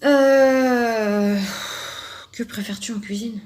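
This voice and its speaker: A woman's voice: fairly high pitch, fairly even intonation, slow pace, darkish tone. A young woman from France chatting casually to her subscribers, rambling with lots of fillers and restarts as she answers questions. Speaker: craft YouTuber answering a subscriber tag. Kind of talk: casual chat to camera.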